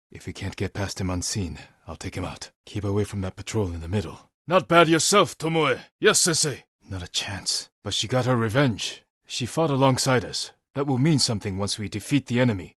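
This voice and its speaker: An actor playing a stoic, honorable young samurai warrior in a video game, speaking in a measured, terse and earnest way. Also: male voice, lowish voice, fairly bright tone, slightly rough, dry recording, clean studio sound. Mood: quiet, watchful, then firm.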